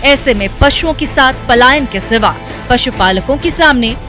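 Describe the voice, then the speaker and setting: A woman with a high voice reads a scripted news report in a measured, formal manner. Soft music runs faintly under her studio voice-over. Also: lively intonation.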